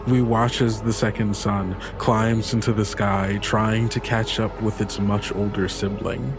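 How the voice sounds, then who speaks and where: A man narrating a tabletop story as game master, in a descriptive, dramatic storytelling manner. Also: fairly low pitch.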